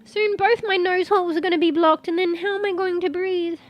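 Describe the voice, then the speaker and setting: A woman's voice: very high voice, fairly even intonation, brisk, lo-fi sound, soft background music. A congested woman, rambling in casual live-stream chat as a streamer, swearing and reading search results aloud. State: congested, losing her voice.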